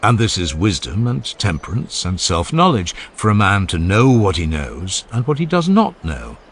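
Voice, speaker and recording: A man's voice: on the low side, wildly swooping pitch, normal speed, breathy edge, exaggerated voice. A man reading the part of an earnest, genial ancient philosopher who questions relentlessly, step by step, in a dramatized audiobook dialogue. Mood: probing. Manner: rhetorical, emphatic, step-by-step questioning.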